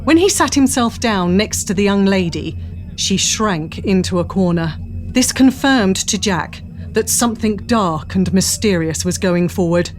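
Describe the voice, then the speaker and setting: A woman's voice: mid-range voice, lively intonation, a little slow. A woman giving a dramatic scripted narration of a period adventure story. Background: faint noise and ambience.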